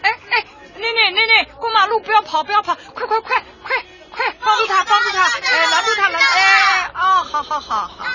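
A woman's voice: very high voice, normal speed, few pauses, bright, forceful tone, heavily acted. An actress in a radio drama playing a caring old grandmother and community leader, solicitous and often repeating herself. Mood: alarmed. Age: older. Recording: muffled, busy crowd and music behind.

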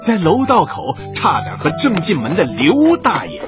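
A man's voice: sits high, huge pitch swings, moderate pace. A man narrating a comic radio drama in a scripted storytelling style. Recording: dull sound, music behind. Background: music.

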